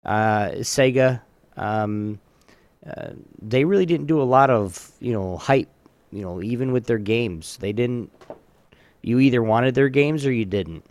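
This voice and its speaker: Casual man hosting trivia, halting delivery. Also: medium pitch, lively intonation, darkish tone, dry recording. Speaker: podcast host running a trivia game. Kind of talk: casual chat.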